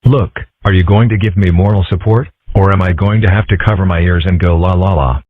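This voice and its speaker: A man's voice: deep voice, a little fast, dry recording. A man voicing a nervous, lovesick schoolboy who stammers and gets flustered. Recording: dry, phone-like lo-fi sound.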